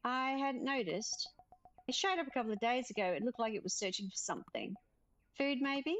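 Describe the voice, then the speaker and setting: A woman's voice: on the high side, lively intonation, normal speed, a bit bright. A woman reading the part of a thoughtful, modest florist who loves plants, in a visual novel. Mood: puzzled. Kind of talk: visual novel character read aloud.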